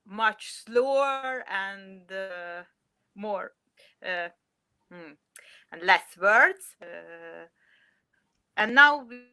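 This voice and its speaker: A woman's voice: mid-range voice, pushed and bright, clean tone, no echo. A Hungarian woman speaking English with a Hungarian accent, a mother answering interview questions hesitantly with many fillers. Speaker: mother, guest.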